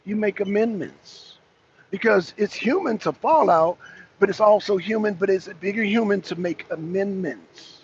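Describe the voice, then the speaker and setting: A raspy-voiced man hosting a casual rant to his viewers from his truck, blunt and profane as he calls out rivals. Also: high-pitched, moderate pace, muffled recording, a little hiss or hum. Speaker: host. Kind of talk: casual rant to a live audience. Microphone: phone camera.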